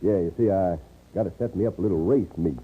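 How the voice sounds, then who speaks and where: A man's voice: low-pitched, quick pace, husky. A man playing a sly, folksy frontier gambler with a rural Western American drawl in a radio western. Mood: folksy, easygoing. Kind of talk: 1950s radio western acting. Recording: tinny old radio recording.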